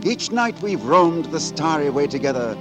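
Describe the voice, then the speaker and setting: Impudent poet-lover, florid declamation, very expressive pitch, 1940s radio drama, music underneath. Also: male voice, normal speed. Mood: wooing, playful. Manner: florid, lyrical, romantic declamation. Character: ardent, impudent beggar poet wooing a noblewoman. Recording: old 1940s radio recording, music behind.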